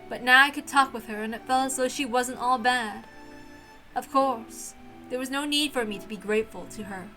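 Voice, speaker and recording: Woman reading as a reflective, high-voiced servant girl. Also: moderate pace, sharp tone, poor audio. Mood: musing. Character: reflective young servant girl narrating her inner thoughts. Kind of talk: first-person visual novel narration. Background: music.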